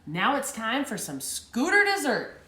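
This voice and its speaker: A mean, hungry monster truck swaggers through a picture-book tale, voiced by a woman reading to kids. He talks big and boastful in a high, bright, animated voice. The sound carries a roomy echo. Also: a little slow, exaggerated voice. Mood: swaggering. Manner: swaggering, boastful street talk.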